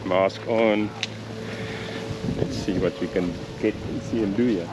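A man's voice: low-pitched, steady tone, a little slow. A man with a South African accent giving casual, off-the-cuff vlog commentary from behind a handheld camera. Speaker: vlogger. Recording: noisy outdoor action-camera audio.

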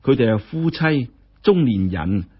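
A man voicing a dutiful female housekeeper in a story, plain and brisk in her reporting. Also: medium pitch, big pitch swings, slightly rough. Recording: dull, muffled lo-fi audio.

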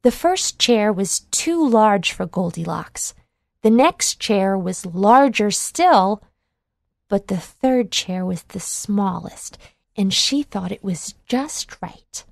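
A woman narrating a children's storybook in a measured, clearly enunciated read-along delivery. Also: mid-range voice, lively intonation, no echo, studio quality.

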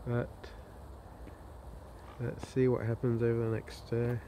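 A man's voice: medium pitch, completely flat delivery, measured pace. A man chatting casually and in an understated way, as an angler presenting a fishing vlog. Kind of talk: casual chat to camera. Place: outdoors on a lakeside bank. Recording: outdoor camera audio, clear background noise. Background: outdoor ambient noise.

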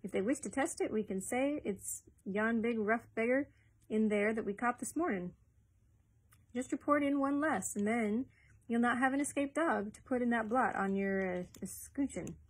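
A woman's voice: fairly high pitch, moderate pace, husky, voice pushed up, low-quality recording. Woman playing an eager, naive young lad. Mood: excited. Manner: earnest, naive, excitable. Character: eager, simple young male dogcatcher's assistant.

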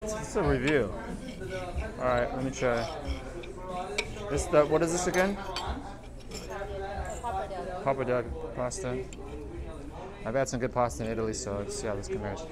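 A man's voice: medium pitch, moderate pace, long pauses, a loud crowd. A man in his thirties filming a restaurant review vlog, handheld camera mic in a restaurant dining room, enthusiastic and repeating his praise. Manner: enthusiastic, repeats wow and very nice. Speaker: food vlogger. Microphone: handheld camera with small mic.

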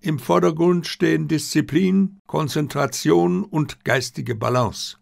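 A man voicing an old, proud, disciplined Japanese army general who speaks in a formal, measured way. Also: on the high side, creaky, no room sound, pitched above the performer's own voice. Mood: dignified.

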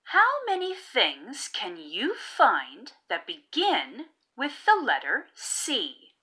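Slow, highly animated woman reading to children. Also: breathy edge. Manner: expressive, deliberate enunciation. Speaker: children's read-aloud host. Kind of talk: picture-book read-aloud for young children.

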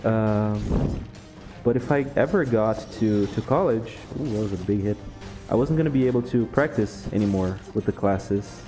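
A young man from Brazil, a Portuguese speaker with a Brazilian accent, chatting casually over gameplay, relaxed and laughing often. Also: fairly low pitch, moderate pace, over music. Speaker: gaming YouTuber.

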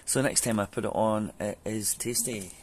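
A man's voice: on the low side, moderate pace, a little hiss or hum. A man, chatting loosely with many ums through a how-to vlog in his back garden, picked up by a camera mic. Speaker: home DIY vlogger. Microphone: camera mic on a fixed camera.

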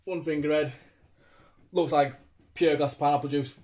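Higher-pitched British man, casual beer reviewer. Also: fairly even intonation, normal speed, darkish tone. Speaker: beer reviewer, vlog host. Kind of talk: casual chatty review to camera. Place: small domestic room indoors. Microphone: phone propped at arm's length.

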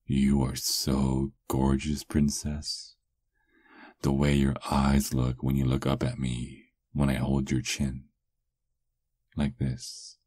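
An extremely deep-voiced vampire lover acted by a man, doting and playfully possessive. He murmurs very slowly and intimately in an ASMR roleplay. The sound is close and dry. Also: slightly rough, no echo, heavily acted. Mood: adoring. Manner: slow, intimate murmuring with soft chuckles. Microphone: close microphone, dry.

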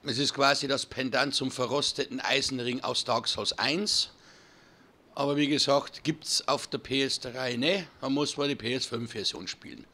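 A hoarse man, chatting casually to viewers while commentating his own gameplay. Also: mid-range voice, steady tone, normal speed, bright, forceful tone. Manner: chatty, addresses viewers as friends. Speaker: gaming channel host. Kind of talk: casual let's-play commentary.

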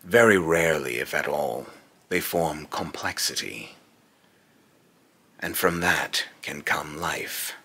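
A man's voice: extremely low pitch, animated, sharp tone, full character voice. A young man playing a menacing, scornful godlike villain in pompous, theatrical monologue, recorded in a small room at home. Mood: grave, contemptuous. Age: young adult. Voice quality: gravelly, raspy. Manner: slow, pompous, theatrical delivery. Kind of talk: dramatic villain monologue, rehearsed voice lines.

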